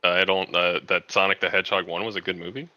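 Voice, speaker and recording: A young man with a low, strikingly sharp, forceful voice. He talks casually and skeptically, giving opinions among friends. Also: fairly even intonation, fast talker, no echo. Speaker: podcast co-host.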